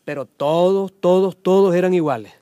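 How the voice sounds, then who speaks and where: A man's voice: high-pitched, moderate pace, warm tone, clear, resonant tone, dry recording, close to an everyday voice. An actor playing a folksy, resigned man who explains things conversationally in radio drama dialogue, repeating words for emphasis. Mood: knowing, resigned.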